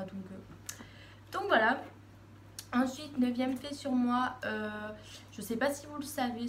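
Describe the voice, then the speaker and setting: Une adolescente qui parle face à sa caméra, bavarde, hésitante, avec beaucoup de « euh » et de reprises. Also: female voice, a little high. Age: teens. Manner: chatty, rambling, many fillers and restarts. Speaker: vlogger. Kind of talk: casual talk to camera. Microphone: phone camera. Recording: echoey room, faint background noise.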